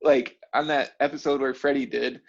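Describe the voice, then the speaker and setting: A man's voice: medium pitch, fairly even intonation, normal speed, vocal fry. Slangy man telling stories over dull audio. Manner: slangy, profane, laughs easily while telling stories. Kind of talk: casual chat, animated storytelling.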